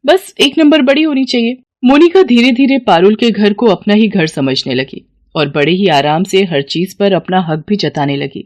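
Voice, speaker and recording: A woman's voice: lowish voice, animated, moderate pace, darkish tone, dry recording. A woman reading scripted moral-story narration as the storyteller.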